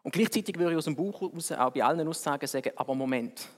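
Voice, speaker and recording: A man preaching with a Swiss German accent and a slightly high voice. He talks to his congregation in a conversational, wry way, pushing ahead quickly and then slowing down hard for emphasis. His voice rings with the long reverb of a church hall. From Switzerland. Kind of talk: sermon.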